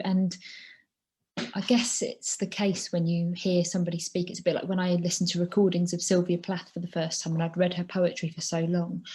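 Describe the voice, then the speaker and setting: A woman's voice: fairly low pitch, a little mellow, a little hiss or hum. A woman hosting an online conversation with a fellow poet over a video call, effusive and rambling in her questions. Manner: effusive, rambling, with fillers and restarts. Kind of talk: conversational interview.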